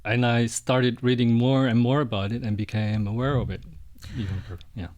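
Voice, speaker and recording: A middle-aged man from Indonesia, a Buddhist monk, answering interview questions in a hesitant, soft way with frequent restarts. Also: medium pitch, steady tone, a little fast.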